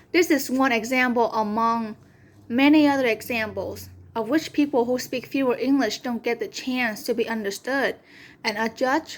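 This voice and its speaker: A young woman whose first language is Vietnamese gives a careful, earnest class presentation, sometimes restarting a phrase. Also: on the high side. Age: young adult. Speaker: community college student. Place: home room, online class video.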